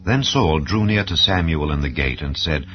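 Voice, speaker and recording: Deep-voiced man solemnly narrating scripture over music. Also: lively intonation, moderate pace. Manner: solemn, formal storytelling. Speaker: audio Bible narrator. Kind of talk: scripted dramatized scripture reading. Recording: slightly dull sound with background music. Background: soft instrumental background music.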